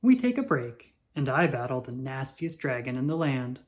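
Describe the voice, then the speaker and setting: Storybook narrator, mid-range pitch, expressive delivery, measured read-aloud style. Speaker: children's book narrator.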